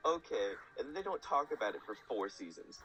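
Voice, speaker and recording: A man's voice: mid-range voice, very animated, fairly quick, a bit bright, soft background music, rough recording. A man chatting casually as a podcast guest, explaining things in an enthusiastic, rambling way with lots of "like". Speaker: guest speaker.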